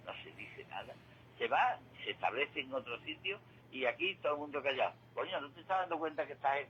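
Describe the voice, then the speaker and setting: Male current-affairs commentator, sharp bright voice, emphatic and didactic, slight background noise. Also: medium pitch, dull audio. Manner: emphatic, didactic, repeats points and asks rhetorical questions. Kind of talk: opinionated conversational radio commentary.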